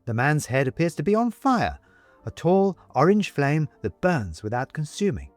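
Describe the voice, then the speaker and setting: A man narrating an audiobook story in a deliberate, expressive, literary delivery. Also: fairly high pitch, dry recording, soft background music.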